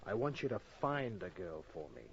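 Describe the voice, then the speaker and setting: Tough hardboiled radio detective, muffled recording. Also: male voice, fairly low pitch, big pitch swings, normal speed, gravelly, husky, full character voice. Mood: businesslike. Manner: clipped, dry, world-weary. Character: tough, wisecracking male hardboiled private detective. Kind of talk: 1950s radio noir acting and narration. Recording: dull vintage radio recording.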